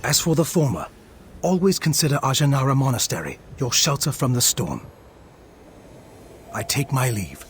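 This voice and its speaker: Man acting a courteous, expressive warrior monk. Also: medium pitch, normal speed, pauses often, slight background noise, lightly acted. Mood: grave, sympathetic. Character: courteous, caring male warrior monk of fantasy realm. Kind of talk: scripted game dialogue. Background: game music.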